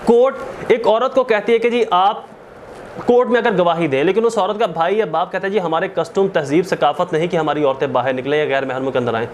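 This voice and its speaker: A man's voice: sits high, a little fast, slight background noise. A man with a Pakistani accent giving a didactic law lecture, repeating points, posing and answering his own questions and switching into English legal terms. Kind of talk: explanatory lecture.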